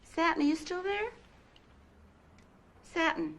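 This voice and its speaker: A woman's voice: extremely high pitch, takes it slowly, a bit bright, heavily acted. An actress playing a catty, self-assured female mentor in a soap parody, with a sugary, backhanded delivery. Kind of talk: parody soap drama acting.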